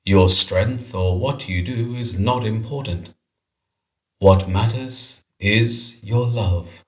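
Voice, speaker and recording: A man voicing a wise male prince who speaks calmly and solemnly. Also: lowish voice, slow. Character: wise, gentle male hero-prince, calm.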